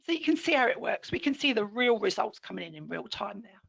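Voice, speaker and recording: A woman trainer with a hoarse, croaky throat, leading an online teaching session in an upbeat, encouraging way. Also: mid-range voice, big pitch swings, fast, a bit bright. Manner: upbeat, encouraging, clear explaining.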